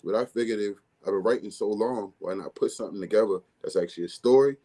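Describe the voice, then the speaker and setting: A man from with a deep, bass-heavy, mumbly voice, talking casually as a music artist guest in an interview. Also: fast, warm tone. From Baltimore, USA. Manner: mumbly, laid-back streetwise delivery.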